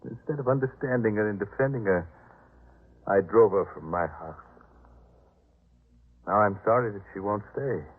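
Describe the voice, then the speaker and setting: A man's voice: lowish voice, moderate pace, long pauses, slightly rough. A man playing a proud householder, earnest and theatrical, in a 1950s radio drama. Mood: remorseful. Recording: muffled, tinny 1950s radio recording.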